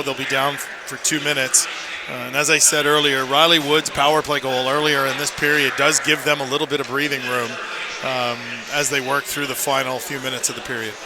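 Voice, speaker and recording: Opinionated male hockey analyst, noisy rink. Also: mid-range voice. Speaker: hockey color commentator. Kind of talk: live radio hockey analysis. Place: indoor ice rink. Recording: noisy live arena radio broadcast. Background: loud rink ambience and noise.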